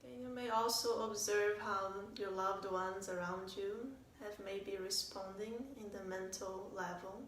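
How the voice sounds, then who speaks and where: A woman leading a guided meditation as a teacher, speaking in a calm, gentle way with unhurried phrasing and pauses. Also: mid-range voice. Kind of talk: guided meditation, soft instruction. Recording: roomy, distant mic, faint noise.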